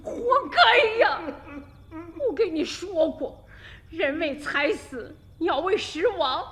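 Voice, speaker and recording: A woman's voice: very high voice, animated, takes it slowly, fairly bright tone, long reverb tail, heavily acted. An actress playing a stubborn old village mother in a radio drama, emphatic and emotional, pleading for her son. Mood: bitter, scolding. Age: older. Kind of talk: Chinese radio drama acting.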